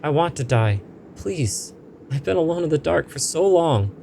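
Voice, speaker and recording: A man's voice: on the high side, lively intonation, moderate pace, gravelly, mellow, a little hiss or hum, voice pushed up. Man voicing a mournful, demanding vengeful spirit. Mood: pleading. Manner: solemn, demanding. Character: mournful vengeful spirit, long trapped in the dark.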